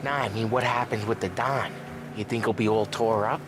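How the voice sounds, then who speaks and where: A man's voice: lowish voice, steady tone, brisk, background noise, close to an everyday voice. An actor playing an eager, talkative mob hitman. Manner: eager, chatty. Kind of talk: video game cutscene acting. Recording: game cutscene with music.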